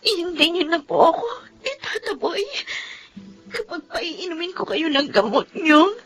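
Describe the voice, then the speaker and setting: An actress playing a sweet, insistent youngest daughter in a Tagalog radio drama, pleading in a childlike way. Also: female voice, very high voice, big pitch swings, unhurried, heavily acted. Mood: tearful pleading.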